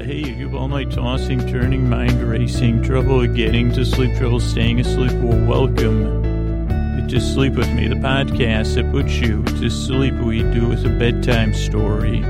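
A man hosting a sleep podcast in a creaky, lulling voice, meandering haltingly through tangents and interrupting himself as he goes. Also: medium pitch. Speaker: podcast host. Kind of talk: rambling bedtime sleep podcast monologue.

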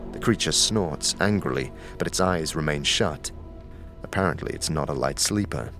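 A man giving steady, descriptive second-person narration for a fantasy audio drama. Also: very deep voice, normal speed. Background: music.

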